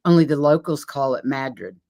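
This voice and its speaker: A woman's voice: extremely low pitch, fairly quick, mellow, no echo, studio quality. A woman from Texas, a guest in a casual chat, who exclaims often.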